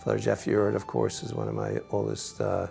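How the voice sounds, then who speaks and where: A middle-aged Scottish man, a priest, answering interview questions in a gentle, reflective way with frequent soft laughs. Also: fairly low pitch, very animated, fairly quick, vocal fry, soft background music. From Scotland.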